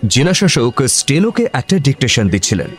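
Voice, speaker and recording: A man giving expressive scripted narration as the storyteller of a radio drama. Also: mid-range voice, a little fast, soft background music.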